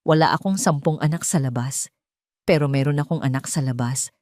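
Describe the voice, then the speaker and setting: A woman's voice: sits low, fairly quick, a little mellow, no echo, studio quality, lightly acted. A woman voicing an earnest, defiant male doctor in an audio story, speaking emphatically. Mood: bold, earnest.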